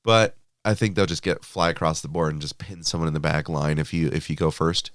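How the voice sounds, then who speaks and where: A man with a deep, clear and resonant voice chats casually about his wargaming army on a podcast. He sounds slightly sniffly from allergies and fills his talk with easy asides, recorded close and dry. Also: fairly even intonation, a little fast, fairly bright tone, no echo. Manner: chatty, filler-heavy, laughs easily. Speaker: podcast co-host.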